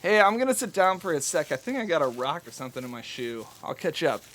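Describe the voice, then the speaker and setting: A man's voice: a little high, very animated, fast, a bit bright. An actor playing a snippy, impatient teenage boy in an audio drama. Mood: casual.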